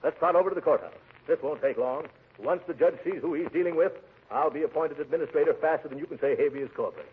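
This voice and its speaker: An actor playing a pompous middle-aged uncle who blusters and stammers in a 1940s radio comedy style. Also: male voice, on the high side, fast talker, close to an everyday voice. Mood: boastful. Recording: muffled, noisy 1940s radio recording.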